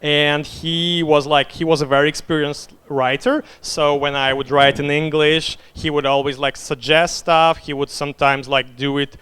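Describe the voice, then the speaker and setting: A man's voice: a little high, little pitch movement, moderate pace, few pauses, fairly bright tone, clean tone. A young man whose first language is Russian, answering audience questions after a conference talk in a loose manner full of fillers. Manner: loose, filler-heavy, leans on "like". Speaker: conference speaker.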